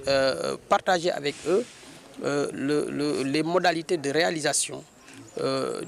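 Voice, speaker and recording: Very creaky-voiced Senegalese man. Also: mid-range voice. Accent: Senegalese French. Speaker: local development agency official. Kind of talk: interview statement to press.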